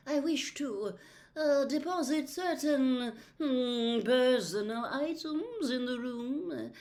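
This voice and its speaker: A haughty older lady seer from a storybook. The voice sits high and shrill, and it drags words out very slowly with theatrical, mystical weight. She hems defensively, as if her dignity is at stake. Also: lively intonation, a little mellow. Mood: evasive, defensive. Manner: shrill, drawn-out, dramatic, hesitant hemming.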